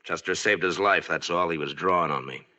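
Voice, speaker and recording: An actor playing a stern, unyielding Old West marshal in a radio drama, speaking tersely and with firm authority. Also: male voice, low voice, brisk, sharp tone. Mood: firm, curt. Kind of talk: 1940s-50s western radio drama acting. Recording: muffled old-time radio recording, music.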